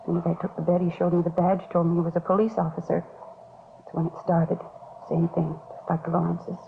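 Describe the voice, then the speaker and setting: An American actress playing a worried beauty shop owner in a 1950s radio drama, confessing and pleading anxiously. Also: female voice, on the low side, little pitch movement, brisk, creaky. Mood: uneasy, confessing. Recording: scratchy, phone-like 1950s radio recording, noisy.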